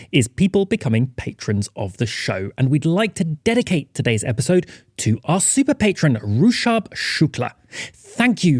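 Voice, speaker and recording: Lively male podcast host, dry studio sound. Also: fairly high pitch, no room sound. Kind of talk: scripted, playful podcast introduction.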